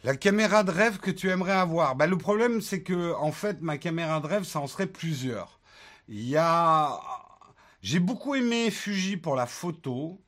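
A man's voice: on the high side, lively intonation, moderate pace, a bit bright. A man hosting a casual tech livestream, chatting loosely with many fillers and joking asides as he answers viewer questions; his first language is English, though he was born in France and grew up in the United States. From France, raised in the United States. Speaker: tech channel host answering live chat questions.

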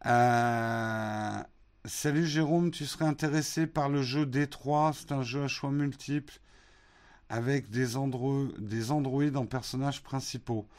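A man from France hosting a casual morning live stream, answering viewer questions in a relaxed, hesitant way with many fillers. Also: mid-range voice, measured pace. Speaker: host of a morning live show answering chat.

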